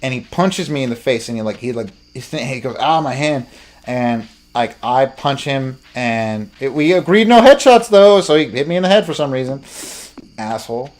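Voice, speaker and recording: A young man telling a story casually while gaming, in a halting, rambling, slangy way. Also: mid-range voice, expressive, darkish tone. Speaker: let's play host. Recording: game music playing behind. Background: video game music.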